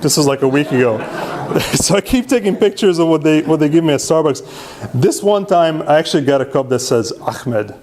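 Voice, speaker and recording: A man presents to a meetup crowd. He is self-deprecating and casual, often tags his points with a quick "right?" and restarts his sentences as he goes. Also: fairly high pitch, animated. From Serbia. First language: Hungarian. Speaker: presenter at user group meetup. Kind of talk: conference talk with slides.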